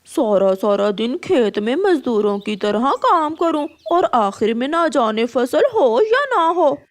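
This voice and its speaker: A woman's voice: sits high, sing-song, slow, heavily acted. A woman voicing a lazy, picky young male crow in a cartoon story, whiny and dismissive. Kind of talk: cartoon story character dialogue.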